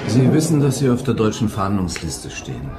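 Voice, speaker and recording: An actor playing a terse, probing police investigator in a radio play. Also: male voice, lowish voice, very animated, moderate pace, very noisy. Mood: confronting. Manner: terse, confronting. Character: probing male police investigator, dry and persistent.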